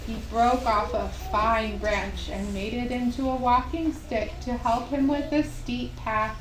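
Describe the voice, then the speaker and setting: A woman telling a scripted children's story in a gentle, deliberate way, as at a puppet show. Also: fairly high pitch, steady tone. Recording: roomy, distant microphone, faint background noise.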